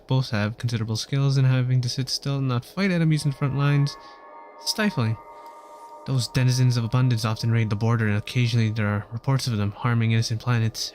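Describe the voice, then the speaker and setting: A man's voice: mid-range voice, darkish tone. A man livestreaming a game, commenting casually and reading aloud with hesitant stumbles.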